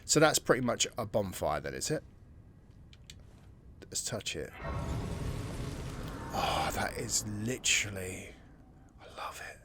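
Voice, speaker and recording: A man giving casual, excitable commentary while playing a video game and thinking aloud. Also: fairly low pitch, takes it slowly, pauses often, background noise. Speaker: gameplay streamer. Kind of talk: casual let's-play commentary.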